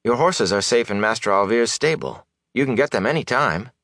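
A man's voice: medium pitch, expressive, moderate pace, a bit bright, dry recording, studio quality, voice pushed up. A man voicing a young village man in an audiobook, earnest and concerned, with a friendly, reassuring manner. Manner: hesitant, eager, reassuring.

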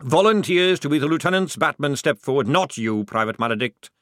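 Male narrator as veteran army sergeant, gruff temper, sarcastic, barking military delivery, animated storybook character. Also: mid-range voice, moderate pace, no room sound, studio quality, voice pushed up, close to an everyday voice. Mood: snapping.

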